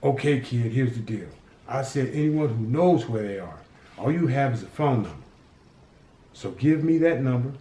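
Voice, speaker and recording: A man's voice: mid-range voice, expressive, normal speed, dark tone, lightly acted. A man voicing a hot-tempered, threatening gang boss who swears freely. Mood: menacing. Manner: threatening, profane, growling. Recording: roomy echo.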